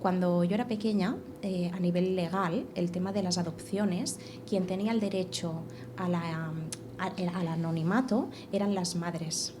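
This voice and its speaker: A woman's voice: lowish voice, unhurried. Woman telling her story, noisy. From Catalonia. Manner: animated, repeats and restarts phrases. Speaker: podcast guest. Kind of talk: casual conversational storytelling. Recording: steady background noise.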